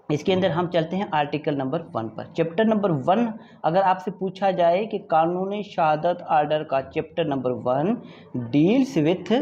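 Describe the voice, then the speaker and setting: Male law lecturer, mellow voice, dull lo-fi recording, repeats points and checks understanding. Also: on the high side. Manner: mixes English legal phrases into explanations. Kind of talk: exam prep lecture.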